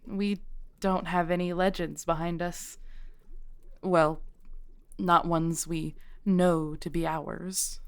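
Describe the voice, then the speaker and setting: A woman playing a kindly modern witch shopkeeper, patient, wry and reassuring, in an intimate scripted audio roleplay, speaking gently as she explains. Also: on the low side, level tone, takes it slowly, pauses often, clear, resonant tone. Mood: patient explaining.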